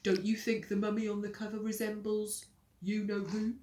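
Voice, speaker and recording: Woman voicing Horrid Henry, loud blustering schoolboy. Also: medium pitch, flat delivery, normal speed, far from the mic, a little hiss or hum, lightly acted. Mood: scheming, ominous. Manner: forceful, melodramatic, sly. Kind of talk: children's storybook reading. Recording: roomy echo.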